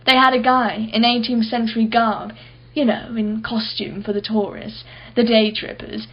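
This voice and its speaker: A woman's voice: a little high, flat delivery, moderate pace, dull audio. An actress playing an imperious, wealthy businesswoman in a radio play, guarded and impatient, speaking in a clipped, formal way. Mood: wistful, reflective.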